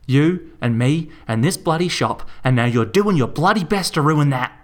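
A man voicing a bossy middle-aged woman shopkeeper with an Australian accent, shouting and swearing at her son. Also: mid-range voice, brisk, voice pushed up, natural voice. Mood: furious.

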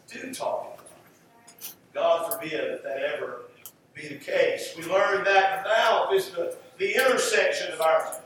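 A man's voice: high voice, fairly bright tone, slight background noise. A man preaching a sermon, teaching from scripture in an emphatic way and repeating phrases for stress. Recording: roomy echoing hall, distant mic, music.

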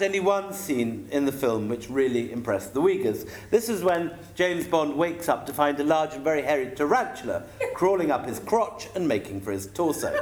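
A man with a British accent reads a comic travel tale to an audience. His voice sits fairly high and swings widely in pitch, with an amused, theatrical delivery. The recording has clear noise behind it. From United Kingdom. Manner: wry, theatrical, amused, breaking into chuckles. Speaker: author reading a travel account. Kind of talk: humorous storytelling reading to an audience. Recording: echoey room with noticeable background noise.

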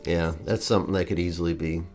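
A man's voice: deep voice, fairly even intonation, quick pace, darkish tone, faint music behind. A man co-hosting a podcast in casual chat, joking and rambling with lots of fillers, a bit stuffed up from allergies. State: congested from allergies. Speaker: podcast co-host.

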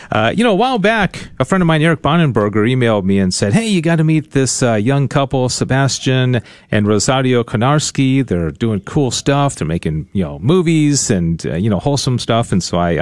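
Chatty radio host, lively voice. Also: male voice, mid-range voice, no echo. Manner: chatty, filler-heavy, friendly. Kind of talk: casual radio interview. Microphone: studio microphone.

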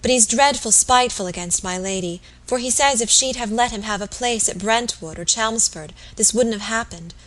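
An anxious young former lady's maid, voiced by a woman reader, speaks with eager deference. She sounds earnest and submissive, a storybook wife confiding carefully in a gentleman. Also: on the high side, normal speed, few pauses, pitched above the performer's own voice, natural voice, rough recording. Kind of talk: audiobook character voice.

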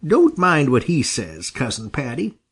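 A man's voice: mid-range voice, wildly swooping pitch, measured pace, fairly bright tone, slightly rough, voice pushed up, full character voice. A man voicing a blunt, loyal male muskrat in an animal storybook, scornful and reassuring. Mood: scornful reassurance.

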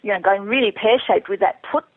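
A woman's voice: medium pitch, a little fast, breathy edge, no room sound. A woman chatting candidly as a guest in a casual interview, answering questions about herself. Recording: thin narrowband phone or internet call.

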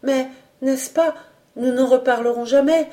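Woman acting gentle, earnest young woman, raised pitch. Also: lively intonation, a little slow. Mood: pained. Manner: tender, timid, earnest.

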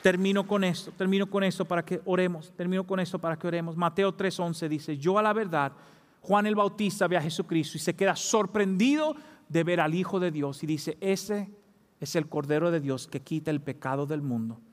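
A man's voice: high voice, brisk, big-room sound, some chatter behind. A man preaching a sermon as pastor, fervent, rising to emphatic peaks. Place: church.

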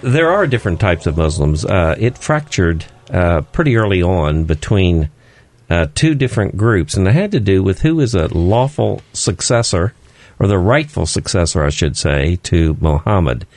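A man speaking as a studio guest on a radio program, explaining slowly and haltingly with many fillers. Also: sits low, sing-song, a little mellow, no room sound.

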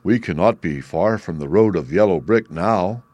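Man doing a lively, helpful scarecrow. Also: deep voice, normal speed, no echo. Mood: matter-of-fact. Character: straw-stuffed scarecrow, simple, helpful and eager.

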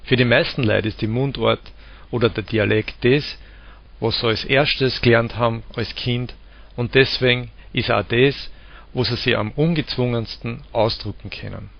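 A man with an Austrian accent from the Vienna area, calmly explaining dialects and giving spoken examples. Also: medium pitch, normal speed, creaky. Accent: Austrian German, Lower Austria/Vienna. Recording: dull lo-fi recording.

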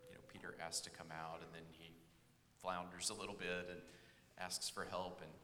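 Brief: low-voiced father, bright edge, reflective testimony, reverberant church room. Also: flat delivery. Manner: thoughtful, measured with fillers. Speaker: church member, Sunday school teacher, father. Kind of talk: casual testimony on stage. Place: church sanctuary. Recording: echoey hall with faint room noise.

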